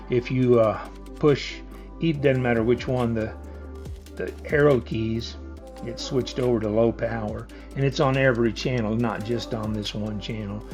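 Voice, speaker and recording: A man's voice: mid-range voice, a little mellow. A man giving a casual hands-on product demonstration in a plainspoken, step-by-step explanatory way. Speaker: product reviewer.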